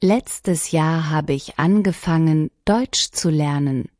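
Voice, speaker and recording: A woman reading short lesson sentences one at a time, each clearly and deliberately, for learners to repeat. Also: low voice, darkish tone, no room sound, clean studio sound. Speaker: language lesson reader. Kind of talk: scripted shadowing practice reading.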